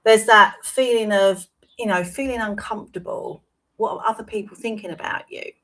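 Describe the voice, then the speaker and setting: A woman, hosting a live-streamed talk show. Her voice is hoarse and croaky, and she talks in a chatty way, often checking in with "right?". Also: medium pitch, normal speed, a bit bright, rough recording. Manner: chatty, tags points with "right?". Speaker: wellbeing coach and show host.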